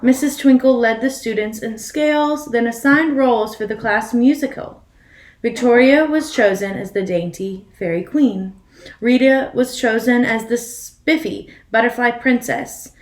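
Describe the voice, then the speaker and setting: Higher-voiced woman reading aloud, echoey room. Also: measured pace. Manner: asks listeners questions about the story. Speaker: read-aloud storyteller. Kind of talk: expressive children's picture book reading.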